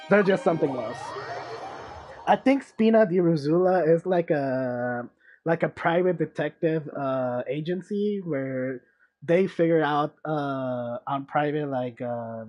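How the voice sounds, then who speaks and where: A man's voice: fairly high pitch, darkish tone. A man streaming a video game, giving casual, rambling commentary as he thinks aloud. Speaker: gaming streamer.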